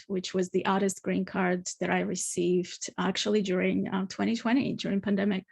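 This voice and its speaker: A woman's voice: mid-range voice, creaky. A woman in her thirties from Ukraine, raised in Australia, speaking as an artist on a conference panel, informal with many fillers. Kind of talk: online conference presentation.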